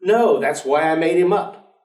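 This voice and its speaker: An actor in a staged play reading, playing a weary, despairing professor who is dry, guarded and easily flustered into protest. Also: male voice, on the high side, animated, normal speed, darkish tone, faint music behind, natural voice. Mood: argumentative. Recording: roomy echoing stage, distant microphone.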